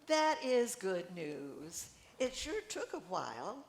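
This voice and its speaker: An older woman reading the part of a warm, supportive friend in a play, in a deliberate, encouraging way. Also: medium pitch, big pitch swings, creaky, heavily acted. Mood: approving. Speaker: amateur actor reading a play. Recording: echoey room with background noise.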